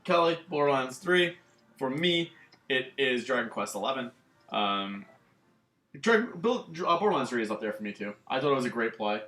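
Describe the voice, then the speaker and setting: A man's voice: medium pitch, very animated, normal speed, pushed and bright. Rambling man in an echoey room. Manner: rambling, many fillers like "um" and "like". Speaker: gaming channel co-host. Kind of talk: casual chat about video games.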